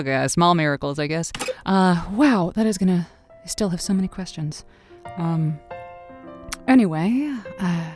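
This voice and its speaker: A lowish-voiced actress playing a lonely-hearts radio hostess in a comedy podcast. She is chatty and easily flustered, stumbling over and repeating her words in an informal way. Faint music is present. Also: a little mellow, lightly acted. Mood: chatty, signing off. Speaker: radio call-in show host. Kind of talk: audio comedy drama, casual radio hosting.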